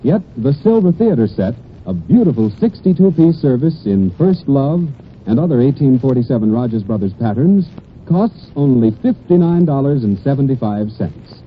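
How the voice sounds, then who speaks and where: A man's voice: medium pitch, very animated, moderate pace. A man reading a scripted 1940s radio commercial as an announcer, earnest and persuasive, with careful phrasing. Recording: dull, noisy 1940s radio broadcast.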